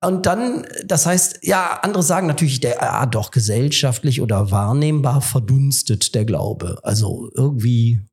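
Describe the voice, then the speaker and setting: A man hosting a relaxed kitchen-table podcast in a rectory kitchen. He has a head cold and thinks aloud, pausing often with fillers. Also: mid-range voice, breathy edge. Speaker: podcast host. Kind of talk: casual conversation.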